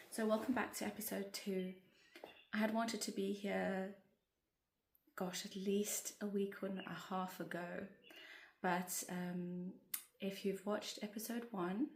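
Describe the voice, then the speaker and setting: A woman talks to her channel in a slow, even, rather flat voice, wandering gently through her thoughts with plenty of ums. She has a cold and sounds croaky. The room around her rings with a noticeable echo. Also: mid-range voice. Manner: gentle, meandering, with many fillers. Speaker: vlogger. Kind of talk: casual chatty vlog to camera. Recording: roomy echoey room.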